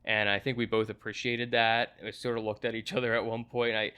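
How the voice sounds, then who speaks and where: A man's voice: fairly low pitch, flat delivery, a little fast, pushed and bright, smooth. A man hosting a casual, agreeable movie review conversation, talking in a conversational way.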